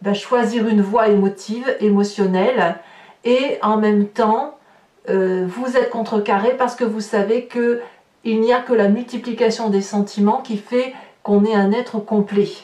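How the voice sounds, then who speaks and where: A woman's voice: mid-range voice, fairly even intonation, a little slow, mellow. A woman giving a spontaneous tarot guidance reading, explaining reflectively as she searches for words and rephrases. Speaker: tarot reader hosting an online horoscope reading. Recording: roomy echo, distant microphone.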